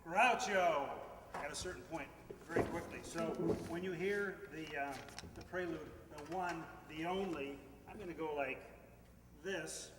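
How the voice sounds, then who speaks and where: A man's voice: fairly high pitch, unhurried. A man giving informal instructions to a live audience as one of the show's crew. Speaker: show crew member briefing the audience. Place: hall before a live audience. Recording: distant, echoey hall, clearly noisy.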